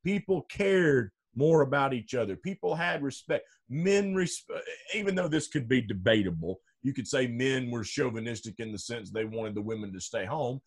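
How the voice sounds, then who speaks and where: Deep-voiced dude guesting on a podcast, pushes his point hard, pitch jumps all over. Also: expressive, normal speed, breathy edge. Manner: emphatic, argumentative, lots of "okay?" checks. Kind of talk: casual political chat.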